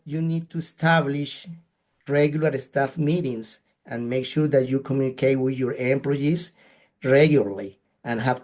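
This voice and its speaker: A man's voice: medium pitch, a little mellow. A man from Colombia with a Colombian Spanish accent, presenting practical advice in a webinar in an earnest manner, often ending points with a questioning tag. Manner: earnest, advice-giving, frequent "right?" tags. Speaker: guest speaker.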